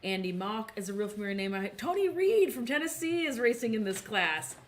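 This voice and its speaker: A woman's voice: a little high, sing-song, fairly quick, a little hiss or hum. A woman hosting a casual behind-the-scenes broadcast from a race control tower, talking in an upbeat, chatty way and laughing often.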